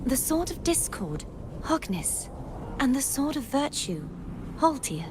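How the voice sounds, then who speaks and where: An actress playing a solemn young maiden troubled by lost memories in a video game, speaking in a measured, pensive way. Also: female voice, high-pitched, sing-song, background noise, full character voice. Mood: solemn, searching. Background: loud game music.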